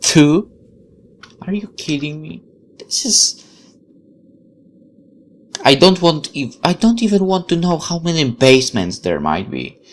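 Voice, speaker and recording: Let's play commentator, lively swinging pitch, expressive, reads game text aloud, exclaims and questions. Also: a little slow, long pauses. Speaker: gaming YouTuber.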